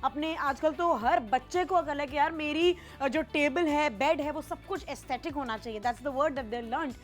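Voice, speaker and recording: A woman with a high voice presents a home shopping segment. She chats in a lively, persuasive way, slipping English phrases into Hindi. Faint music plays beneath her. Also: unhurried. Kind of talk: chatty sales pitch mixing Hindi and English.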